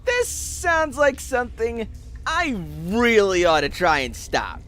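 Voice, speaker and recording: A man's voice: extremely high pitch, huge pitch swings, slow pace, a bit bright, voice pushed up, exaggerated voice. A man voicing an embittered, profane werewolf loner talking to himself in an audio drama. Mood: worried, bitter. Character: embittered, foul-mouthed male werewolf loner. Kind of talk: amateur audio drama acting.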